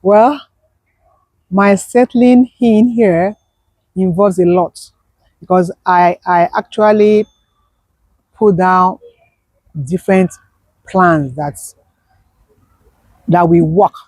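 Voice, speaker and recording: A woman's voice: lowish voice, slow pace, pauses often, darkish tone, no echo, rough recording. A woman, a business owner, speaking as an interview guest and emphatically repeating her key points. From Nigeria. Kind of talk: conversational interview.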